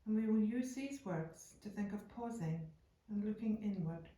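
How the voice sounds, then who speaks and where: A woman guiding a yoga nidra meditation in a calm, unhurried, soothing way, leaving deliberate pauses between phrases. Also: medium pitch. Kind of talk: slow guided meditation narration. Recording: echoey room, distant from microphone.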